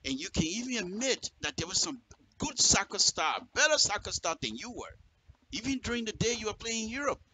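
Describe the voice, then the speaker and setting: Liberian male radio commentator, higher-pitched and very sharp, emphatic political address, noisy clicking audio. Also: very animated, fairly quick. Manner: emphatic, repeats phrases for effect.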